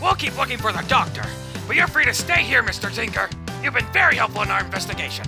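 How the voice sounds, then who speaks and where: Man as very high, gravelly detective leader. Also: lively intonation, fast, over music. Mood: polite, firm. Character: bossy, energetic male cartoon detective leader. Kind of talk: animated comic dub acting.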